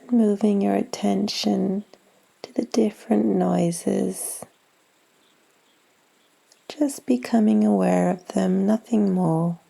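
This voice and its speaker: A woman guides a meditation with slow, soothing instructions. Her voice is mellow and relaxed, sitting at a medium pitch with heavy vocal fry. She speaks softly and leaves generous pauses between short phrases. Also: rough recording. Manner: soothing, gentle, unhurried instruction with long pauses. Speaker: yoga nidra guide.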